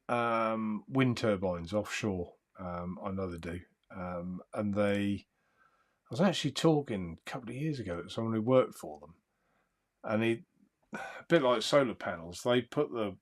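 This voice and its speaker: Calm low-voiced man. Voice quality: calm, soothing voice. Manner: rambling, easygoing, chuckles often. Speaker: livestream host. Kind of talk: casual chat reading live comments.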